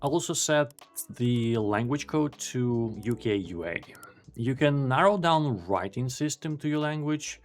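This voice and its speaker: A man whose first language is Ukrainian, presenting a screen-recorded software tutorial in a calm, explanatory way with dry self-deprecating asides. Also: medium pitch, unhurried, faint music behind.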